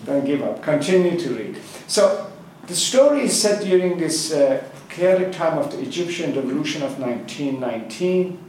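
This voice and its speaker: A man whose first language is Farsi, giving a public talk at a book gathering, with many pauses, fillers and restarts. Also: a little high, big pitch swings, a little mellow, a little hiss or hum. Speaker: guest speaker at a book club gathering. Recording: echoey hall, far from the mic.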